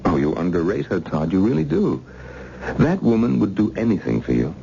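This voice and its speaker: An actor playing a menacing, coldly polite interrogator with a silky, taunting manner in an old radio thriller. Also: male voice, low voice, big pitch swings, a little fast, creaky, mellow, heavily acted. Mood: coldly probing. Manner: silky, mock-courteous, taunting. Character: menacing male interrogator, coldly polite villain threatening torture. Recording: muffled, hissy old radio recording.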